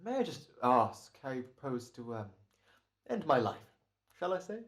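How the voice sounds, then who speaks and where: Guy acting a smug gentleman crook in old radio drama, dryly polite, really animated pitch. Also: normal speed. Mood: cool, mocking.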